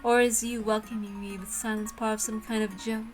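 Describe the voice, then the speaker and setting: A woman's voice: fairly high pitch, level tone, fairly quick, faint music behind, lightly acted. A woman voicing a coolly menacing ancient witch in girl's form, dry and taunting, in an amateur visual novel reading.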